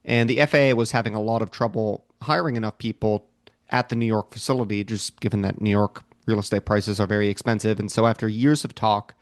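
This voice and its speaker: An American man co-hosting a podcast, explaining things in a measured way from prepared notes. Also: on the low side, no echo, clean studio sound. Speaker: podcast co-host.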